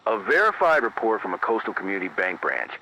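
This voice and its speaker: A man playing a grave, measured emergency radio announcer, reading scripted horror bulletins in a formal, deliberate, careful manner. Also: on the low side, sing-song, sharp tone, full character voice, poor audio. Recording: band-limited radio sound with hiss.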